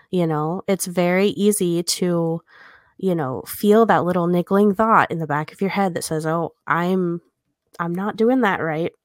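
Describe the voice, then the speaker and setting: A woman's voice: lowish voice, no room sound, studio quality. A woman co-hosting a podcast, talking through a book in a casual, reflective, conversational way. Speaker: podcast co-host.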